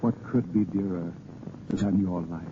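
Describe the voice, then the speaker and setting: An actor playing a swaggering, romantic French vagabond poet and thief in flowery, declamatory 1930s radio style. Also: male voice, sits low, unhurried, noisy background. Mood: suave. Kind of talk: 1930s theatrical radio acting with sung verse. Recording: tinny, band-limited 1930s radio recording, music.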